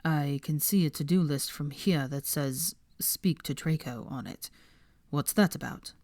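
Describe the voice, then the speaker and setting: Woman doing a low, drawling cool young man. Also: unhurried, darkish tone, no echo. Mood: concerned.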